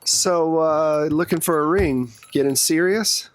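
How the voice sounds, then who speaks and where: A man's voice: fairly high pitch, measured pace. Casual man running a tabletop game. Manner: conversational, loosely improvised. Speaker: game master.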